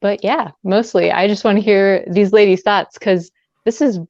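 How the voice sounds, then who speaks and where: A woman's voice: medium pitch, steady tone, normal speed, darkish tone, no room sound. A woman hosting a casual livestream book chat in a chatty, informal way. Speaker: livestream host. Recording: dull, lo-fi livestream audio.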